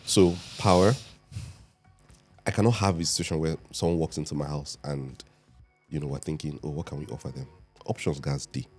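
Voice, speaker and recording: A Nigerian man in his thirties with a raspy voice, telling stories in a relaxed way as a podcast guest. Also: very deep voice, fairly quick, soft background music. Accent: Nigerian English. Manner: storytelling, laid-back. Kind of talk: casual chat.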